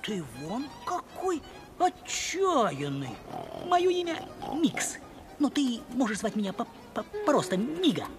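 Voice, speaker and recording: A scrawny little male conman from a cartoon, high for a man and swooping in pitch. He stutters on his words and talks slyly and confidingly, with music underneath. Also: huge pitch swings, slow pace, full character voice. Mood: sly, conspiratorial. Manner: stutters on p sounds, conspiratorial. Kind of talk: animated film acting.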